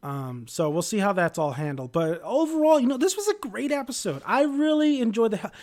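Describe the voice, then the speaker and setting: A man's voice: high-pitched, big pitch swings, a little fast, darkish tone. A man casually reviewing a TV episode, rambling with many fillers, often losing his train of thought, upbeat and chatty.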